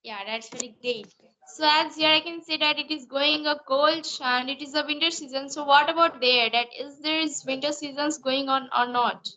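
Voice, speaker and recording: A woman's voice: high-pitched, fairly even intonation, normal speed, bright, forceful tone, low-quality recording. A teenage girl from Jharkhand with an Indian English accent, speaking eagerly and politely as a student on a video call. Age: teens. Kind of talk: online conversation practice.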